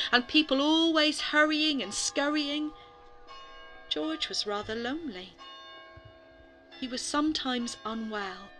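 Casting: woman narrator for children's bedtime stories, bright voice, slow, deliberate dramatic delivery. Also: a little high, poor audio. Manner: dramatic, deliberate, with pauses and breathy whispered emphasis; addresses young listeners with questions. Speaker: storyteller for a gallery's bedtime stories.

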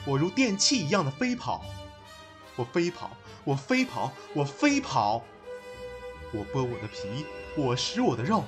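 一位男演员在广播喜剧中饰演夸张做作、慷慨激昂的年轻诗人老师。. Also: male voice, medium pitch. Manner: declamatory, swings into shouting recitation. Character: theatrical, overwrought poet teacher, melodramatic young man. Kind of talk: Chinese audio comedy drama acting.

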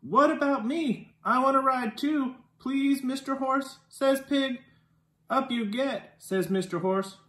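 Storytime reader for young children: unhurried, expressive with dialogue, recorded in an echoey living room. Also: sits high, slight background noise. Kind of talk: children's picture book read-aloud. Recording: roomy indoor echo.

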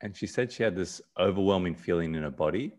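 A man speaks from a small room at home over a video call webcam. His voice sits low. He rambles gently, repeating words and restarting sentences as he talks. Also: quick pace. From South Africa, raised in Australia. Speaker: podcast guest. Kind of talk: casual conversation on a video call.

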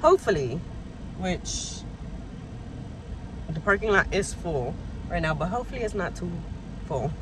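A woman's voice: on the low side, expressive, a little slow, long pauses. A young adult woman with an American accent, talking casually to camera as a vlogger on a handheld phone in a car. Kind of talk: casual chatty vlog talk to camera. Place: inside a parked car. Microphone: handheld phone at arm's length. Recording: phone in a car, faint background noise.

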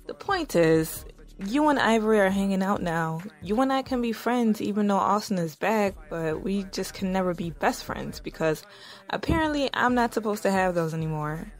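Audio drama lead: actress playing young married woman, conflicted and defensive, medium pitch. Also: expressive, normal speed, soft background music, lightly acted. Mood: flustered. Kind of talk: audio drama acting with first-person narration.

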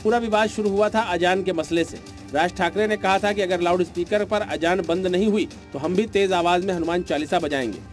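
High-pitched man narrating a news report. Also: a little fast. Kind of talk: scripted news package narration. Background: light news music.

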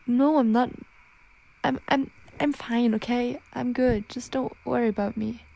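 A frightened young werewolf girlfriend, acted by a woman in an ASMR audio drama. Her voice is fairly high and she speaks very slowly, stammering and pleading as she tries to hold back her change. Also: fairly even intonation, vocal fry, warm tone. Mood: startled, pleading.

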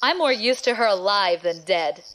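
A woman's voice: mid-range voice, animated, normal speed, fairly bright tone, natural voice. A woman playing a haughty, menacing young sister in an audio drama, scornful and theatrical. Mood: cold, threatening.

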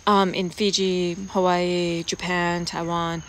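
An American woman in her forties talking casually and reflectively to camera, halting and thoughtful, with pauses. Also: on the low side, flat delivery. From United States expatriate raised around the Pacific Basin. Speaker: vlogger. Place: outdoors in a leafy garden, seated. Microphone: phone at arm's length. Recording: clear outdoor background noise, cricket-like ambience.

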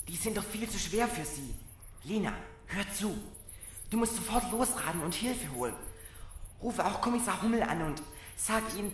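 A woman's voice: fairly low pitch, slight background noise, close to an everyday voice. A woman playing a level-headed, bossy boy detective in a children's audio drama. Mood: urgent. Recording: echoey, faint music behind.

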